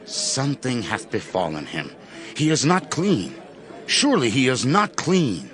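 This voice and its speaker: A man's voice: mid-range voice, huge pitch swings, measured pace, faint music behind. An actor playing a wrathful, suspicious king with declamatory, archaic speech in a dramatized scripture recording. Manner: forceful, declamatory. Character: wrathful, suspicious king raging at his son.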